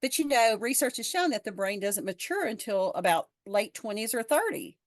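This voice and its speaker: A woman's voice: on the high side, very animated, normal speed, dry recording. Frank woman in her fifties, dry close sound. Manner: frank, earnest, repeats words while thinking. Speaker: podcast guest. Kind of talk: casual conversation. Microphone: video call.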